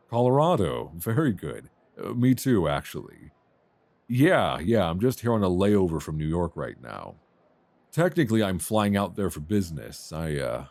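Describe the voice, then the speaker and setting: Romance lead played by a man: low, animated voice, flirty and self-deprecating, close dry binaural mic. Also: no room sound. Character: friendly, flirtatious rough-and-tumble male traveller, a writer. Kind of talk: intimate ASMR romance roleplay. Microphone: close binaural microphone.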